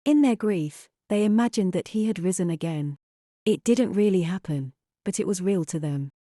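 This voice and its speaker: A woman's voice: mid-range voice, lively intonation, moderate pace, mellow, dry recording, natural voice. A woman playing an earnest, doubting wife and mother in an audio drama. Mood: skeptical, wistful.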